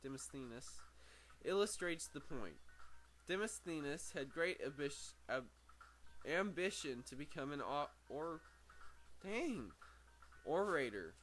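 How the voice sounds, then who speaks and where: Halting male amateur reader, noisy recording. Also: a little high, animated, fairly bright tone. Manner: halting, stumbling over words and correcting himself. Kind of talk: reading aloud from a book with casual asides.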